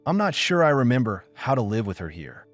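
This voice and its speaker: A man voicing an exhausted, wary mother in a sci-fi audiobook, speaking in a quiet, guarded, terse way. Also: mid-range voice, sing-song, a little fast, no room sound, faint music behind, close to an everyday voice. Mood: quiet, vulnerable. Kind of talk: audiobook character dialogue.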